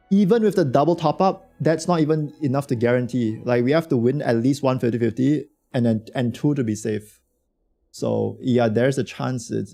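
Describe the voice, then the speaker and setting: A man streaming a game with a hoarse voice from a cold, chatting loosely and slangily with his viewers. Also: medium pitch, dark tone. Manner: slangy, rambling, talks to chat. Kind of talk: casual livestream chat reacting to a game.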